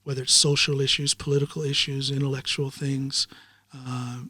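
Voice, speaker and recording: A man's voice: medium pitch, little pitch movement, moderate pace, bright, forceful tone, breathy edge, dry recording, clean studio sound. A man, a podcast host being interviewed, who talks in a rambling, reflective way with many ums and uhs. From United States. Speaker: podcast host in the guest chair. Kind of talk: casual interview chat.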